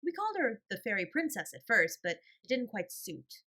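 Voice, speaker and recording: A woman's voice: on the high side, big pitch swings, normal speed, sharp tone, voice pushed up, heavily acted. A woman voicing an earnest, tender-hearted little girl, prim and eager, in a storybook reading. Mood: earnest, chatty. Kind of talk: storybook character voice.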